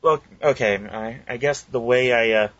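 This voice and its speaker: A man who voices fan dubs, chatting casually, halting with fillers and swearing. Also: medium pitch, fairly bright tone, no room sound. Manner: hesitant, sweary. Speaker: fan dub voice actor. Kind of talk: casual podcast chat. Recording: dry, dull lo-fi recording.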